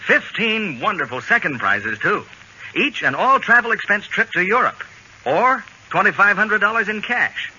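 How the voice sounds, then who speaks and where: A man's voice: sits high, very animated, moderate pace, pushed and bright, husky. A man announcing an old-time radio advertisement in an emphatic, promotional manner. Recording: muffled old radio broadcast.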